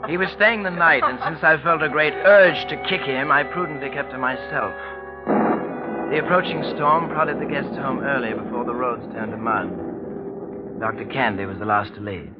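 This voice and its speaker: A man plays a troubled young gentleman telling his mystery in formal, sardonic phrases. His pitch is middling. It comes through as a dull vintage radio drama with music clearly behind. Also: normal speed, natural voice. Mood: grave narration. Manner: formal, literary, dryly sardonic. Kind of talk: old radio drama acting and first-person narration.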